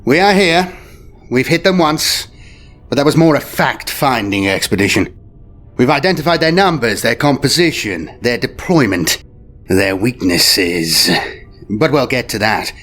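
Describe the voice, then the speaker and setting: A man playing a commanding, animated space admiral. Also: medium pitch, voice pushed up. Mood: grave, assured. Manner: declamatory, clipped military phrases with weighty pauses. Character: commanding, shrewd veteran space fleet admiral prince. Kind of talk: dramatic audio story character. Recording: music behind the voice. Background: music.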